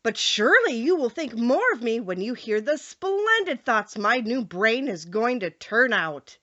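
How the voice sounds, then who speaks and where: A woman's voice: a little high, sing-song, unhurried, fairly bright tone, dull audio. A woman voicing a cheerful, earnest male scarecrow in a storybook reading, eager and sincere.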